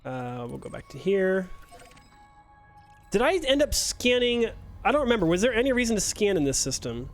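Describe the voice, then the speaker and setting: A man's voice: high voice, big pitch swings, moderate pace, pauses often, soft background music. A young man streaming a game live, chatting with his viewers in a joking, self-mocking way. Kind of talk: casual live-stream chat while playing.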